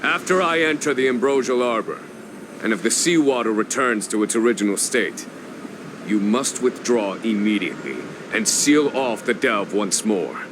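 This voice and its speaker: Dude voicing a general, low and steady, giving commands, noisy behind. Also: male voice, natural voice. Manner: firm, measured, authoritative.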